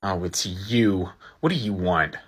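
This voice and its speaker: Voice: deep voice, a little slow, slightly rough, voice pushed down. A voice actor playing an earnest, awkward young man who gets flustered.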